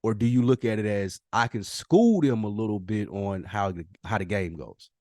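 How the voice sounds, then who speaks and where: A man's voice: fairly low pitch, expressive, normal speed, warm tone, no room sound, clean studio sound. A man co-hosting a podcast in casual chat, teasing his friend and acting out quoted voices. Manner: joking, teasing, acts out quotes. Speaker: podcast co-host.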